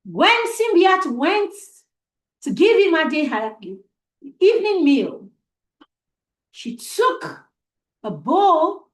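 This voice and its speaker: A woman storyteller with a high, very animated voice tells a tale for children. She goes slowly and deliberately, building suspense, and the room around her sounds echoey. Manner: deliberate, suspenseful, repeats phrases for emphasis. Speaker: storyteller, host of a storytime show. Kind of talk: dramatic oral storytelling for children.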